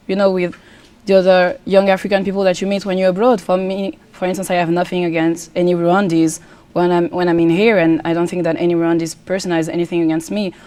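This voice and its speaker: A woman's voice: lowish voice, mellow. A young woman from Congo with a French accent, a pageant contestant answering interview questions earnestly, often restarting her sentences. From Democratic Republic of Congo. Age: young adult. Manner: earnest, halting with many restarts. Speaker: pageant contestant, student guest. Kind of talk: casual interview talk.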